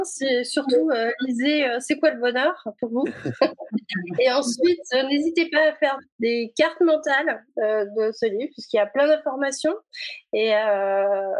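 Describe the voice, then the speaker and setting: A woman's voice: sits high, no room sound, poor audio. A woman in her forties answering interview questions hesitantly, with many fillers and frequent laughs. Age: 40s. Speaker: guest, a reader of the book.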